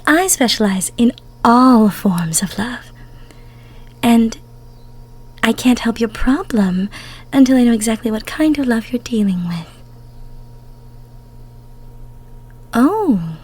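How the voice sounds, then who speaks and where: A woman playing a tender, emotional goddess of love in an intimate roleplay audio, cooing soothingly in a breathy, near-whispered voice. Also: fairly high pitch, a little mellow, full character voice. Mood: gentle, concerned. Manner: soothing, cooing, coddling with pet names.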